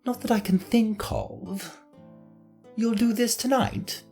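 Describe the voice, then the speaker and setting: A man's voice: high-pitched, slow pace, darkish tone, soft background music. A man playing a haughty nobleman who talks in a clipped, sardonic, imperious way in an audio drama. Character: haughty male nobleman hiring a ghost hunter.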